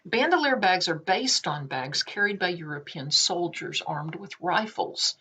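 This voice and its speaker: A woman reads an art history essay aloud in the low end of her range, taking her time over each phrase. She reads carefully, with small slips and self-corrections along the way. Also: sharp tone, low-quality recording. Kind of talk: read-aloud lesson.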